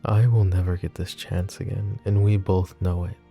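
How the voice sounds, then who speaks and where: A man playing a flirty, possessive mafia boss who is smitten and vulnerable, speaking in soft, teasing, intimate ASMR roleplay. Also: deep voice, little pitch movement, normal speed, faint music behind. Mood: tender, vulnerable. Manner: soft teasing banter with breathy chuckles.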